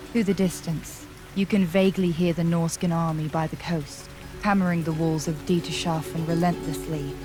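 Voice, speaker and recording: Female narrator, slightly low voice, dramatic grim fantasy storytelling over prominent music. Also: warm tone. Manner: dramatic, descriptive second-person storytelling. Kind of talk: scripted dark fantasy audio drama narration.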